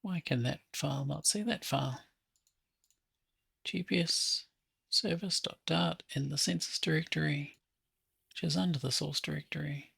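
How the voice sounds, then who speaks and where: A man with a heavily fried, husky voice talks through a coding session in a casual, think-aloud way. He takes his time, as if half speaking to himself. The sound is close and dry. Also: fairly high pitch, normal speed, long pauses, fairly bright tone, no room sound. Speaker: app developer hosting a coding session. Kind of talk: casual think-aloud screen-recording tutorial.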